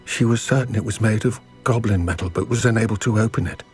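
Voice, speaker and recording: Earnest goblin, formal, solemn, regretful, video game dialogue, music underneath. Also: male voice, lowish voice, quick pace, darkish tone, husky. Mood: solemn, reflective. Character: earnest, remorseful male goblin, wary of his ruthless kin.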